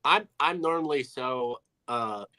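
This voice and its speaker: A man's voice: mid-range voice, lively intonation, slow pace, bright, forceful tone. A man in his twenties co-hosting a casual betting podcast with self-deprecating, wry humor. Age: 20s. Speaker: podcast co-host.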